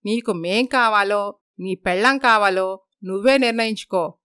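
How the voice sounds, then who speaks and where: A woman's voice: mid-range voice, normal speed, bright, forceful tone, clear, resonant tone, no echo, clean studio sound, natural voice. A woman voicing a worried, stern older mother-in-law in a moral story.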